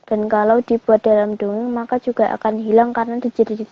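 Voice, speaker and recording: Flat-voiced woman reading slides. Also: fairly high pitch, fairly quick, lo-fi sound. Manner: flat, even recitation. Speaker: slide reader. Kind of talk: scripted reading.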